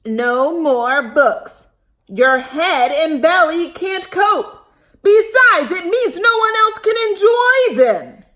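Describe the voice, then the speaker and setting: Woman playing picture-book scold: stern adult, emphatic and slow, high voice, admonishing. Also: expressive, bright, forceful tone, full character voice. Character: stern grown-up scolding a book-eating boy.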